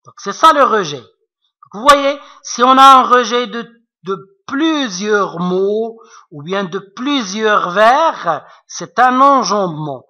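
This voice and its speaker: A man's voice: high-pitched, animated, takes it slowly, pushed and bright. A man with an Arabic accent, a native Arabic speaker, explaining French poetry to students in a patient, repetitive way. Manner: patient, repetitive, checks with frequent asides. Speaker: French literature teacher. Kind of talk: classroom explanation.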